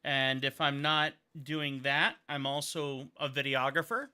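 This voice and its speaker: A man's voice: medium pitch, a little slow, sharp tone, clear, resonant tone. A man in his thirties from Montana, chatting casually and upbeat while he draws on a livestream, rambling and thinking aloud. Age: 30s. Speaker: caricature artist and videographer.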